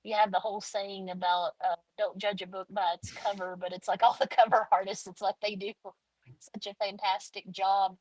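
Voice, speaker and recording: A woman's voice: medium pitch, flat delivery, moderate pace, a little mellow, poor audio. A woman in her forties, an author being interviewed, talking casually with many fillers and restarts. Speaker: author guest.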